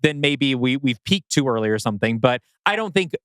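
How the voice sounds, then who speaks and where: A man co-hosting a podcast, casually critiquing a story and acting out imagined quotes in an animated way. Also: medium pitch, a little fast, smooth, dry recording, clean studio sound.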